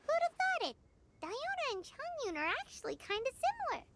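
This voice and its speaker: A woman voicing a tiny, excitable, childlike fairy companion in an anime-style game, squeaky and bubbly. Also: fairly quick, very noisy. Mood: curious, surprised.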